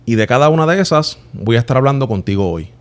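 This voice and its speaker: A Puerto Rican man in his thirties with a mid-range voice talks straight to the viewer. He explains things in an earnest, personal way, like someone reflecting out loud for his channel. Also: medium pitch, expressive, quick pace, slight background noise. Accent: Puerto Rican Spanish. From Puerto Rico.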